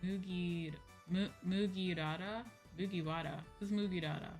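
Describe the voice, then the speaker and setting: A woman streamer chatting casually with her viewers in an animated, informal way, often checking in as she goes. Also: fairly low pitch, normal speed. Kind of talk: casual livestream chat. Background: background music.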